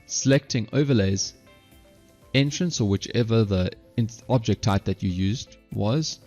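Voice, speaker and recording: A man narrating an instructional software screencast step by step, halting at times and correcting himself. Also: on the low side, muffled recording, soft background music. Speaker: software tutorial presenter.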